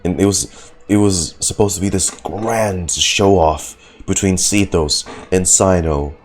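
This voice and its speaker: A man's voice: low-pitched, slow. A man giving casual livestream reactions to a game, groaning and exasperated. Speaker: game streamer reacting to a story quest.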